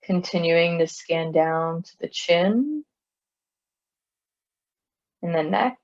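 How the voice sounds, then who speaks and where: A woman leading a guided body scan meditation in a calm, soothing manner, leaving long pauses between phrases. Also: on the low side, dull audio. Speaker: meditation teacher.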